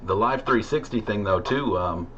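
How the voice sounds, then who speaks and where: Low-voiced American man on muffled CB radio. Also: moderate pace. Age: middle-aged. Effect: CB radio transmission. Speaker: amateur CB radio operator. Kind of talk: casual radio chat. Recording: muffled CB radio signal with static noise.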